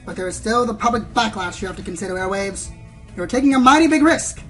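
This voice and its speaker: A woman's voice: medium pitch, lively intonation, fast, a bit bright, close to an everyday voice. Music plays behind a woman acting a sensationalist mare reporter in an audio drama. Her mid-range voice is theatrical and smug, pressing with pompous, insinuating questions. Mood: smug, challenging. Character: sensationalist female pony news reporter, probing and smug. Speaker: interviewer. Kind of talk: radio play acting, theatrical interview.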